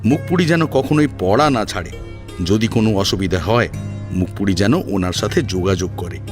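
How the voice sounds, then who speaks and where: A man reading a dramatic audio story as its narrator. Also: medium pitch. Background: background music.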